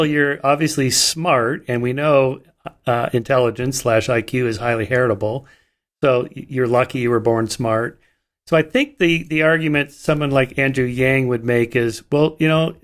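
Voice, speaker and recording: A man hosting a podcast interview, chatty and self-deprecating, laughing easily as he talks. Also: medium pitch. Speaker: podcast host. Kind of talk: conversational interview.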